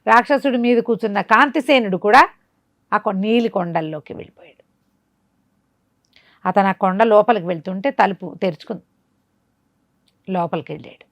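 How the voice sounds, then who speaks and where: A woman's voice: lowish voice, no echo. A woman storyteller telling a bedtime tale in a conversational way, with explanatory asides. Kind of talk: animated oral bedtime storytelling.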